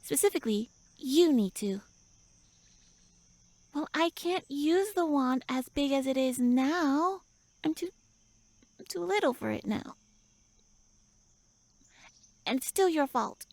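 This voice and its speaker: A tiny elf woman speaks in a high voice with very little room sound. She is pouty and indignant, huffing and stammering as she scolds. It plays as an intimate fantasy roleplay audio with a tsundere temper. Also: animated, unhurried, pauses often, darkish tone, dry recording. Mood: pouty, flustered. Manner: flustered, indignant, huffy, stammering. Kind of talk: roleplay audio drama addressed to the listener.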